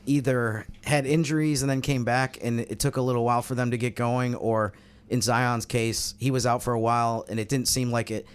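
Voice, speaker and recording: Male sports podcast host, crisp clean voice, conversational analysis. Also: mid-range voice, little pitch movement, a little fast. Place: studio roundtable.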